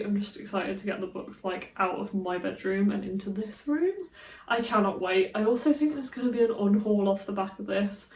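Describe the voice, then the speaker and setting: A woman vlogging casually in a room of her flat, chatty and rambling with giggly asides, a little stuffy from a cold. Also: mid-range voice, echoey room, far from the mic. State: slightly congested from a cold. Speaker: book vlogger. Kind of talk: casual vlog chat.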